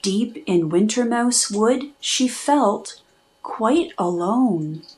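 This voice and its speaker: A woman reading a children's storybook aloud, narrating expressively in measured, rhythmic rhyme. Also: on the high side, lively intonation, a little mellow. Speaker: children's book reader and host.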